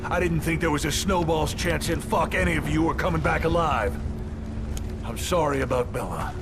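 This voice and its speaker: An actor playing a gruff, weary marine commander in a game, speaking bluntly and gravely. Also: male voice, fairly high pitch, normal speed, over music, natural voice. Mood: weary, regretful. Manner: blunt, foul-mouthed, grave. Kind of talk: video game cinematic acting.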